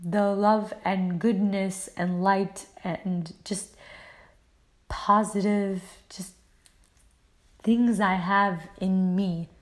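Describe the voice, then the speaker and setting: A woman from the United States talks alone as a podcast host at an unhurried pace. Her pitch sits in the middle for a woman, and her manner is earnest and affirming, with casual phrasing that often leans on filler words. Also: fairly even intonation. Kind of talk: casual solo podcast monologue.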